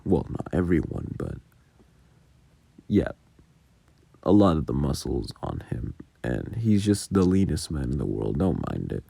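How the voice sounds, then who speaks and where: A man giving an informal, halting, self-deprecating behind-the-scenes commentary as an audio creator, talking casually close to the microphone. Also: extremely low pitch, wildly swooping pitch, creaky, warm tone, slightly rough, no room sound.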